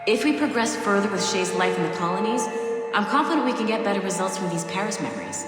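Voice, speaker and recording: An actress playing a brisk, confident memory technician in a video game, businesslike and technical. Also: female voice, mid-range voice, animated, natural voice. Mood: focused, businesslike. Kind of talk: scripted video game dialogue. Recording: very echoey, long reverb, music behind.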